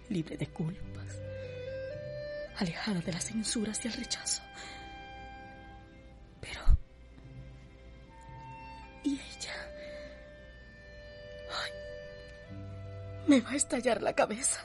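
Distraught young lady, swooping, slow. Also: medium pitch. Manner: melodramatic, halting. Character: distraught young unmarried mother of a wealthy household. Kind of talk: radio soap opera acting.

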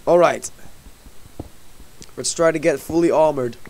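A man's voice: a little high, unhurried, a little mellow, low-quality recording. A man casually commenting on a block-building game as channel host, chatty and narrating what he does for his viewers. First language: Dutch. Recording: faint background noise, mouse clicks.

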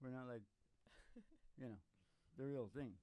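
A man's voice: mid-range voice, steady tone, a little slow, dark tone, clean tone, dry recording. An older man hosting a music show with casual, rambling, joking banter between songs, on a stand microphone. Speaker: host and country singer. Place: small curtained studio. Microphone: stand microphone close up. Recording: acoustic guitar behind the voice. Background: acoustic guitar.

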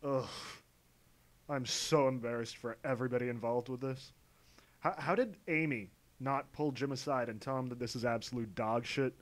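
A man's voice: medium pitch, normal speed, background noise. A man giving mocking reaction commentary as a video commentator, exasperated, sweary and stammering.

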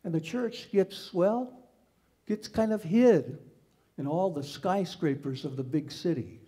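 Brief: older male pastor, higher voice, slow steady sermon delivery, large sanctuary. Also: breathy edge. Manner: slow, measured preaching with repeated phrases. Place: large church sanctuary with tall windows.

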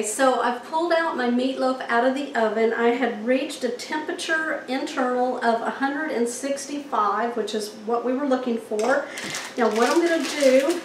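A middle-aged woman, chatting with viewers as she demonstrates a recipe in her home kitchen. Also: sits high. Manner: chatty, folksy, checks in with viewers. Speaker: home cooking host. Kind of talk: cooking demonstration. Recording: echoey kitchen with faint noise.

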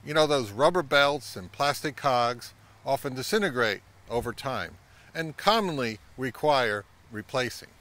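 A middle-aged man giving a measured, instructional talk to camera as a vlog host, recorded outdoors. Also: mid-range voice, lively intonation, a bit bright. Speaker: vintage audio channel host. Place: outdoors by a pond and on a wooded trail.

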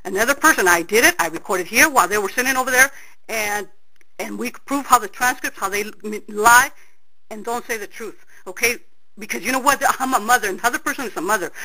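A middle-aged woman on a phone call who talks loudly and insistently, repeating herself again and again. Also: fairly high pitch, a little fast. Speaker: caller.

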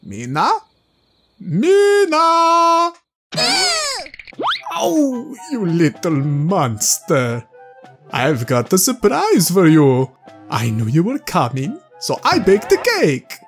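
Woman doing a theatrical cartoon vampire, fussy and evasive, low drawn-out voice, music behind. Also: huge pitch swings, heavily acted. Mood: playful. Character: fussy, evasive vampire count, theatrical and secretly soft-hearted. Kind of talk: cartoon fan dub acting.

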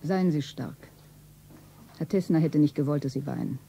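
Actor as grave doctor, very soft dark voice. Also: male voice, a little high, natural voice. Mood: solemn, consoling. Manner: measured, solemn, gentle. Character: grave, fatherly male private doctor breaking bad news. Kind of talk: 1970s radio drama acting. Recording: old radio play with faint noise.

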